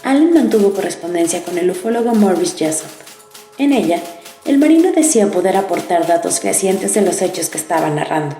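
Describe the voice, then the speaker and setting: A woman with a warm, mellow voice reads a scripted passage in a steady, measured tone. Her narration carries a roomy echo, with faint music underneath. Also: on the low side. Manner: measured, even reading. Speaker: podcast co-host. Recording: echoey room.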